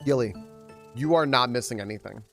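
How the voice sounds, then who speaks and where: A man chatting casually on a live stream while playing a game. He rambles with many restarts and jumps up in pitch whenever he greets viewers. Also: fairly quick, creaky, loud music behind the voice.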